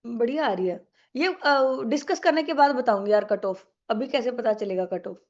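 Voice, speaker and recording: A woman's voice: a little high, moderate pace, a little mellow, highs cut off. A young woman teaching an online exam-prep English class with a sore throat, chatty and coaxing, mixing English into her Hindi.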